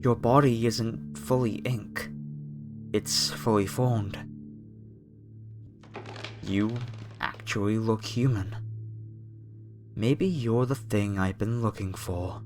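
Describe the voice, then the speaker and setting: A man playing a menacing, reverent cult fanatic in a horror ASMR roleplay, speaking to a captive in a theatrical, falsely soothing way. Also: on the low side. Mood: zealous reverence. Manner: slow, theatrical, preacher-like, softly coaxing. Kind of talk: horror ASMR roleplay, scripted monologue to the listener. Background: faint music.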